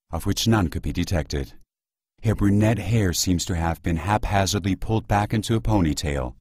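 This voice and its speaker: A man playing the solemn narrator of an audio play, reading literary prose in a measured way. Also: low voice, darkish tone, husky, dry recording. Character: solemn, literary male narrator of a surreal drama. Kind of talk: scripted dramatic narration.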